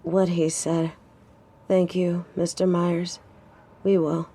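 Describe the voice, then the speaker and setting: A woman's voice: on the low side, flat delivery, slow, warm tone, husky, exaggerated voice. A woman playing a protective, stubborn young lover in an intimate audio drama, wry and conversational. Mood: earnest. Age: young adult.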